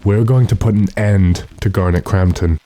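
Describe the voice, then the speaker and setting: A man performs a resolute gentleman vampire hunter from an old radio horror play. His voice is very low and mellow, with a deliberate, authoritative delivery that takes command of everyone around him. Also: steady tone, lightly acted. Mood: grimly resolute. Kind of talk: classic radio horror drama acting.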